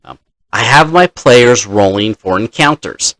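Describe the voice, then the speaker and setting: Slow-paced man telling game stories. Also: fairly low pitch, animated, a bit bright, dry recording, rough recording. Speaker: dungeon master recounting game sessions. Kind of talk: casual storytelling to camera.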